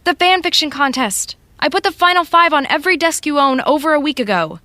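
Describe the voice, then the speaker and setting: An actress playing a brisk, no-nonsense TV assistant in an audio drama, wry, bossy and informal in a natural style. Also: female voice, sits high, expressive, bright, forceful tone, dry recording. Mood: firm teasing.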